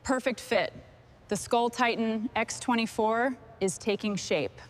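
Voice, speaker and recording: A woman with a fairly high voice speaks as the boss of a workshop team. She gives brief, encouraging directions and remarks on the work. There is faint noise and clicking behind her. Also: measured pace. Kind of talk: short spoken workshop instructions and commentary.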